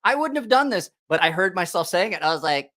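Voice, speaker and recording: A man's voice: high-pitched, big pitch swings, quick pace, pushed and bright. Rambling, profane man chatting casually. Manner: rambling, profane, repeats himself. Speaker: YouTube knife channel host. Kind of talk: casual livestream chat.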